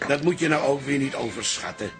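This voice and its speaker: A man's voice: mid-range voice, animated, brisk, music in the background, lightly acted. An actor playing a stubborn, blustering old father in a radio play. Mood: dismissive grumbling. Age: older. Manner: blustering, dismissive, complaining. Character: grumpy, stubborn old Amsterdam pub father.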